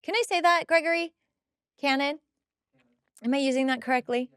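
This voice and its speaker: A woman's voice: sits high, moderate pace, no echo, clean studio sound. A woman hosting a podcast, giving a casual, chatty and emphatic recap of a TV episode. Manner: expressive, emphatic, chatty, acts out quoted dialogue. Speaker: podcast host.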